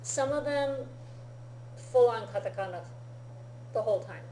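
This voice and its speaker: A woman teaching a Japanese lesson, explaining patiently in an unhurried, easygoing way. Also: a little high, dark tone, a little hiss or hum. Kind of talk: relaxed classroom-style lesson. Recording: echoey room.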